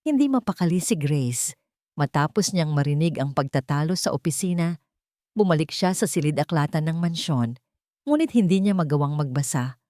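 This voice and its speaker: Low-voiced woman narrating a dramatic story. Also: expressive, normal speed, dark tone, no echo, clean studio sound.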